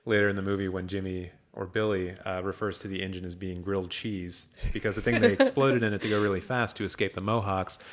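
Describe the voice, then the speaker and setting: A man's voice: sits low, fairly even intonation, quick pace, few pauses. A man hosting a casual movie podcast, explaining things with mock seriousness. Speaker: podcast host.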